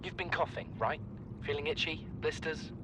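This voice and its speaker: A man's voice: a little high, normal speed, natural voice. A man playing an earnest, studious young scientist in a video game, pleading as a peacemaker. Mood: grave, earnest. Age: young adult. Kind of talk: dramatic game voice acting. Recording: clear ambient background noise.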